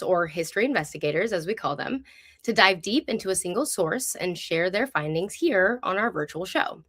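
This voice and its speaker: A woman's voice: on the low side, fairly bright tone. A woman speaking as host of a live online show, presenting and interviewing in an upbeat, enthusiastic way.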